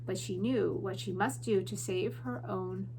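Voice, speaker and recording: A woman teacher giving class announcements and reading a story aloud with deliberate, expressive emphasis. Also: mid-range voice, slight background noise, rough recording. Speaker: elementary school teacher.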